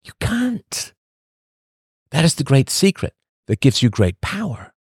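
A man hosting a podcast, reading a scripted monologue full of rhetorical questions and emphatic pauses. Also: on the high side, sing-song, darkish tone, slightly rough, no echo, studio quality. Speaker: podcast host.

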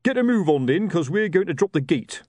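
Casual: guy doing a lazy loud watch sergeant, bossy, hollering a bit. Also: mid-range voice, big pitch swings, moderate pace, husky, dry recording, pitched above the performer's own voice.

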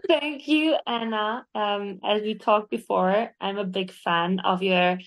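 A woman in her thirties speaks with a Spanish accent, her first language being Spanish. She talks as a guest in a direct, expressive and open way, pausing often on fillers, in a voice of middling pitch. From Spain. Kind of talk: casual interview conversation.

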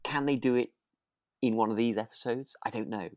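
A man's voice: mid-range voice, fairly quick, no echo, clean studio sound. A man co-hosting a podcast, recapping a drama in casual, agreeable chat with hesitant, trailing asides. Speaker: podcast co-host.

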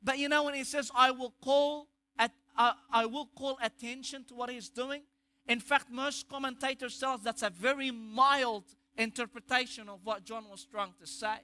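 A man's voice: very high voice, steady tone, normal speed, sharp tone. A man with an Egyptian accent preaching a sermon, emphatic, asking rhetorical questions and restarting phrases. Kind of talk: sermon on scripture.

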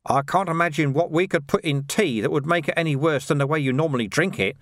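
Man voicing a cheerful, unflappable monk, pitched high. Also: fast talker, no echo, studio quality. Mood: calm. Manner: calm, wry, politely needling. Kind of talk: audiobook character voice.

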